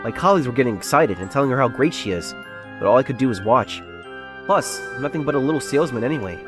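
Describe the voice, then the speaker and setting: Man acting earnest, self-doubting young salesman, animated delivery. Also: mid-range voice, fast talker, over music, close to an everyday voice. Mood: confiding, wistful. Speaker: narrator and lead character of a romance comic dub. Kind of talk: first-person dramatised narration.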